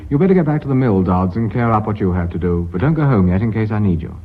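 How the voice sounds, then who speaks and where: Radio play lead: male actor as brisk, commanding company boss, animated delivery, old lo-fi broadcast sound. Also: lowish voice, lightly acted. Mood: businesslike. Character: brisk, decisive businessman running an embattled family firm. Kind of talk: radio drama acting. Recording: muffled lo-fi radio recording, faint hiss.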